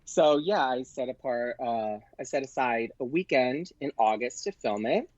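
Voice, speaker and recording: A man speaks as a podcast guest in a casual, chatty storytelling style, animated and quick to act out dialogue. His voice sits at a medium pitch. The recording is dry and a little muffled. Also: dry recording. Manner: animated, acts out quoted exchanges. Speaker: podcast guest, performer and producer.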